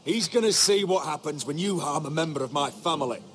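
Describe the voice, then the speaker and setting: A man's voice: a little high, fairly quick, a bit bright, close to an everyday voice. A man playing a stern, protective young lord in a video game drama, resolute and commanding. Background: music.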